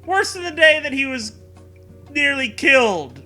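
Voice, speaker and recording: Male comedy host, very bright, lively swooping delivery, sarcastic story-reading with laughter. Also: very high-pitched, measured pace, faint music behind. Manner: animated, sarcastic, often laughing through words. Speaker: podcast co-host. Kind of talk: comedic riffing while reading fan fiction aloud.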